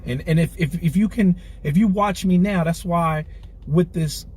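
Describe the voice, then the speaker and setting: A man's voice: a little high, normal speed, darkish tone, breathy edge. A man in his forties talking in a candid interview in a car, earnest and streetwise, often repeating himself. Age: 40s. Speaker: clothing brand founder, guest. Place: inside a car.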